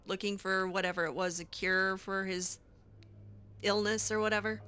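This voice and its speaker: A woman doing casual, improvised in-character roleplay during a game, with an expressive, sassy, blunt manner. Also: mid-range voice, moderate pace. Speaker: gameplay roleplayer voicing own character's replies.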